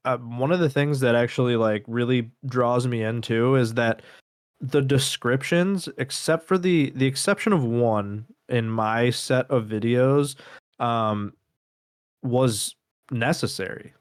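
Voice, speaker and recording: A man's voice: mid-range voice, clear, resonant tone, no echo, studio quality. A man co-hosting a podcast, talking in a casual, slangy way with some swearing. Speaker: podcast co-host reviewing web horror series. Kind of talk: casual conversational chat.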